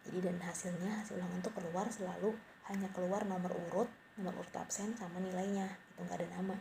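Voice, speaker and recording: A woman's voice: on the low side, fairly even intonation, fast talker, gravelly. Rambling, self-mocking woman, noisy mic. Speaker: podcaster. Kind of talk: casual late-night monologue. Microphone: cheap home mic. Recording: noisy home mic recording.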